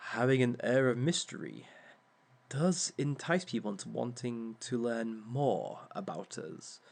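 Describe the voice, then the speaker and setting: A man's voice: medium pitch, gravelly, full character voice. A man voicing a suave villain teaching a seminar in a dry, sardonic, amused way. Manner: slow, dry and sardonic, with small amused laughs. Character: suave, wry male villain lecturing a seminar. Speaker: villain instructor. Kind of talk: audio roleplay monologue.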